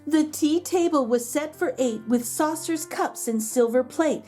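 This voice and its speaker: A woman reading a children's picture book aloud as a storyteller, with measured, expressive rhyming delivery. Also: high-pitched, faint music behind.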